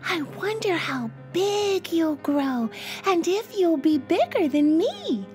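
A woman's voice: extremely high pitch, sing-song, takes it slowly, a little mellow, soft background music, pitched above the performer's own voice, full character voice. A woman voicing a curious, caring little girl in a children's storybook, wondering aloud in a singsong way. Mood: curious, eager.

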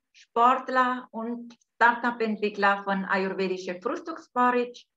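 A woman from Italy with an Italian accent, hosting an online talk by video call from home, speaking slowly and hesitantly with many fillers. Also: mid-range voice, pushed and bright. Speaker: host. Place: home room, indoors. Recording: dull lo-fi video call audio.

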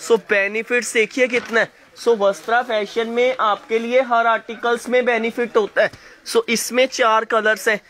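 A man running a fast live sale of clothing, calling viewers by name and coughing from a sore throat. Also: extremely high pitch, little pitch movement, fairly bright tone. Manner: fast hyped patter, addresses viewers by name. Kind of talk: live sales pitch to viewers. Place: indoor shop floor, clothes laid on mat.

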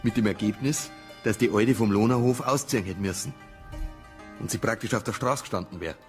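An actor playing a dogged, suspicious police investigator in a radio crime drama, brooding and colloquial with a Bavarian accent. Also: male voice, lowish voice, brisk, natural voice. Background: background music.

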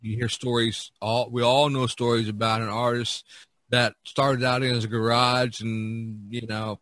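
A man hosting a casual podcast discussion, speaking haltingly and often repeating words and phrases. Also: medium pitch, little pitch movement, fairly bright tone, dry recording. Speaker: podcast host.

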